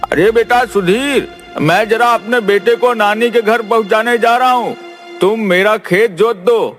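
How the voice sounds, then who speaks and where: Man playing a high-voiced older village farmer. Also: measured pace, a bit bright. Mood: friendly, casual. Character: older village farmer uncle, casually asking favours. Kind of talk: animated Hindi moral-story cartoon acting. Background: faint music.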